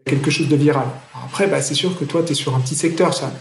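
A man interviewing a guest in a casual, relaxed chat, explaining things informally. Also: on the high side, fast, gravelly, roomy sound, a little hiss or hum. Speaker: interviewer, podcast host.

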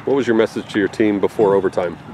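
Male sports interviewer, low voice, measured questions, faint field noise.

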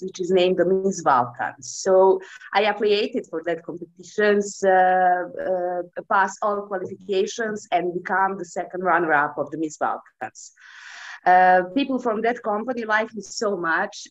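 Serbian woman with halting, hesitant English. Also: medium pitch. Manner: halting non-native English with many fillers. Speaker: pageant candidate. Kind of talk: self-introduction on a video call. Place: indoor room. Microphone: video call. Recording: lo-fi video call audio.

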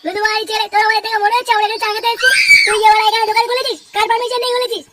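Squeaky, shouting cartoon gangster thug. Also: racing, recorded from a distance, heavy background noise. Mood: menacing, mocking. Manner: swearing, badgering, excitable. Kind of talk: Bengali comedy cartoon voice acting.

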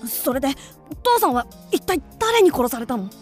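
A woman's voice: high-pitched, very animated, moderate pace, faint music behind. A woman playing a naive, restless fifteen-year-old boy in a radio drama. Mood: startled, curious.

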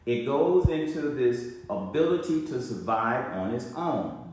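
A middle-aged man, a doctor, lecturing to a phone camera in an urgent care clinic, speaking slowly and repeating key phrases for emphasis. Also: medium pitch, a little mellow. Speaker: doctor giving a health lecture. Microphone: phone camera at a short distance. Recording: echoey clinic room.

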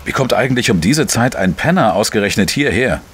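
An actor playing a wry, world-weary journalist who narrates a radio crime drama in a measured, dry manner. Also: male voice, on the low side, expressive, a bit bright, husky. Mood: laconic. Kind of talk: scripted first-person crime narration.

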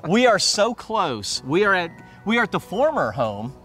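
A man's voice: high-pitched, normal speed, slightly rough, soft background music. A middle-aged man, a local newspaper publisher, chatting animatedly in an outdoor interview at a waterfront construction site. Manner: animated, talks with hands.

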